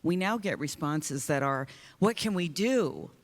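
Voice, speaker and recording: A woman's voice: deep voice, animated, a little slow. A woman singer speaking earnestly on a panel, often restarting her sentences. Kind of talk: panel discussion. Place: conference hall stage. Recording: long reverb of a large hall.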